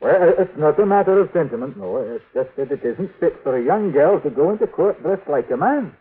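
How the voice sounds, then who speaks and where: A blustering, soft-hearted storekeeper in a radio western. His husky voice sputters with flustered indignation, heard through a thin, narrow vintage recording. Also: a little high, animated, fairly quick. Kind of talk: old-time radio western acting.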